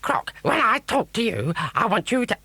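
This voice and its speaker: Guy doing a bossy witchy villain woman for a kids story, high pitched n irritable, threatens everyone. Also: big pitch swings, normal speed, vocal fry, pushed and bright, slightly rough, no room sound, full character voice. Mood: scolding. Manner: imperious, threatening.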